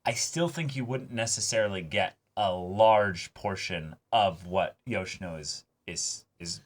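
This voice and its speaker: A man's voice: sits low, a little slow. A man hosting a reaction show, discussing an episode casually and earnestly, often searching for his words.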